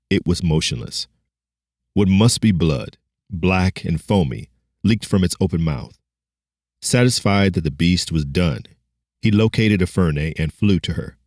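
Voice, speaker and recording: Male audiobook narrator, deep pitch, measured slow delivery, dramatic scripted storytelling, dry close sound. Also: dry recording.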